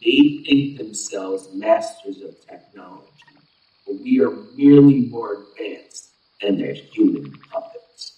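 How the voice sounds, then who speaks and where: A man playing a proud, restrained alien warrior envoy in an audio play, speaking in formal, measured, deliberate phrases. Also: fairly low pitch, lively intonation, mellow, highs cut off. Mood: assured. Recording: roomy echo, distant from mic.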